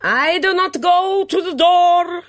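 A woman's voice: extremely high pitch, slow pace, dry recording, pitched above the performer's own voice. A woman voicing an indignant, dramatic foreign cook who speaks broken English with emphatic repetition in an audiobook story. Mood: defiant.

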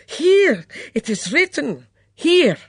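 A woman voicing an excitable young foreign housemaid who speaks loud, theatrical, broken English. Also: high voice, takes it slowly, dry recording, studio quality, pitched above the performer's own voice, exaggerated voice. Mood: alarmed.

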